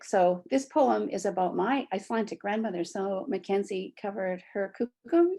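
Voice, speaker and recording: A Canadian woman in her sixties reads her own poetry, with a short personal introduction first. Her voice is husky with a gravelly creak, and its tone is mellow and dark. She reads in a reflective, unhurried, measured way. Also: medium pitch. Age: 60s. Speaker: poet. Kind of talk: poetry reading with spoken introduction. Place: near the Fraser River, British Columbia.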